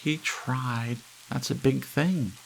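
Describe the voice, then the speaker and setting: A kindly, simple-minded housewife put on by a man, lifted well above a deep natural register. She talks earnestly and a little uncertainly, in storybook fashion, with faint rain and hiss behind. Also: fairly even intonation, slow pace, creaky, poor audio.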